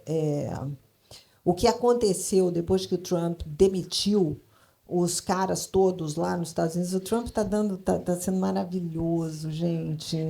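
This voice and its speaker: Creaky-voiced Brazilian woman speaking on a panel. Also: fairly low pitch, a little mellow. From Brazil, grew up in Brasília. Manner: halting, emphatic, repeats phrases as thinking aloud. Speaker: panel speaker, creative consultant in advertising. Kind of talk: spontaneous panel discussion.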